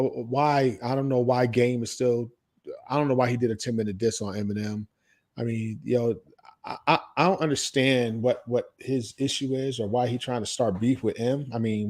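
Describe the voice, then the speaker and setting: Male podcast co-host, informal and slangy, mid-pitched voice, loose conversational delivery. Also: darkish tone. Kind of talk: casual podcast chat.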